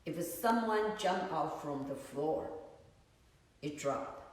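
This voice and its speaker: Slow-talking woman teacher in echoey hall. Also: low voice, animated. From Taiwan. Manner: unhurried, searching for words, many "you know"s. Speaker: Buddhist teacher. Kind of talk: dharma talk. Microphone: phone on a tripod. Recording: echoey room, far from mic.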